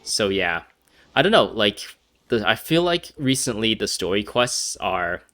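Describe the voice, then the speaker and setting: A man's voice: on the low side, sing-song, measured pace, bright, forceful tone, dry recording, clean studio sound, faint music behind. A man giving casual gameplay commentary, rambling with many fillers and restarts. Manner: rambling, hesitant, frequent fillers and restarts, laughs often. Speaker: gaming commentator.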